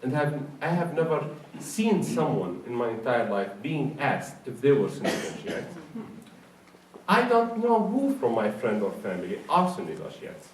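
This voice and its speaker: A man's voice: medium pitch, very animated, moderate pace. Iraqi-accented man speaking in a roomy space. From Iraq. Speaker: speaker at a public forum. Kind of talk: public talk, explanatory and partly off the cuff. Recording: roomy, distant mic with faint noise.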